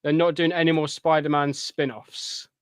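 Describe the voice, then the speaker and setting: A man's voice: fairly high pitch, level tone, moderate pace, crowd noise. A man talking casually as a podcast co-host. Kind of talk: casual pop culture podcast chat.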